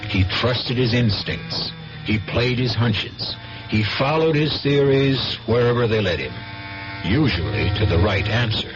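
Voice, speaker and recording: A man hosting a mystery radio show, narrating in a deliberate, suspenseful, scripted 1970s style. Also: mid-range voice, lively intonation. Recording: dull 1970s radio recording with music.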